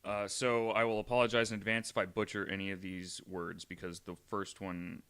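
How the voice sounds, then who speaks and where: A man's voice: low voice, little pitch movement, fairly quick, a bit bright, smooth. A young man co-hosting a podcast, reading a spooky article aloud with jokey asides. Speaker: guest co-host.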